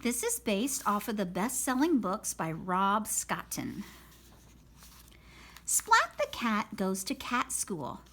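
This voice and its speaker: A woman reading a children's picture book aloud in a slow, expressive storytelling manner. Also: medium pitch, a little hiss or hum. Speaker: storybook reader. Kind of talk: children's read-aloud narration.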